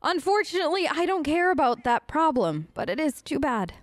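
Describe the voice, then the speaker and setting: High, animated woman playing games. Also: a little fast, no room sound. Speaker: gamer doing a role-play let's play. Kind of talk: casual playful gameplay commentary, speaking in character.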